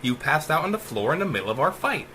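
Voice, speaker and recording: Casting: male reader as sadistic, unhinged female computer, mechanical and teasing, sharp forceful tone, animated delivery, horror story. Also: on the high side, fast talker, a little hiss or hum. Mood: gloating. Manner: taunting, mechanical tease, drawling. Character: cruel, mocking female AI, hateful and unhinged. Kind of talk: dramatic rhyming sci-fi horror audiobook.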